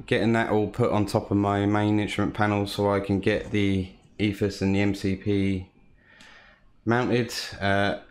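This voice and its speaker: Slow, calm man with a low voice. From United Kingdom. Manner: calm, unhurried, frequent "uh" fillers. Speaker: home cockpit builder. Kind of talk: casual hobby walkthrough.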